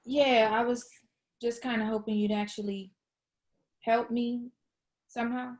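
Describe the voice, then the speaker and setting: A woman's voice: on the high side, little pitch movement, unhurried, natural voice. A woman playing an awkward, eager young woman in a script table read, hesitating and repeating herself. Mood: defensive. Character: awkward, eager young woman fibbing her way through job hunt.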